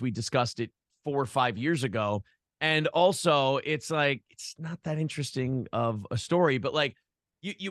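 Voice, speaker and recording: Podcast guest, American male, slightly high voice, very dry sound. Casual, sweary storyteller who voices other people's lines as he goes. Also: no room sound. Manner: animated storytelling, acting out imagined quotes. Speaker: podcast host being interviewed, former radio broadcaster. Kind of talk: casual, profane interview chat.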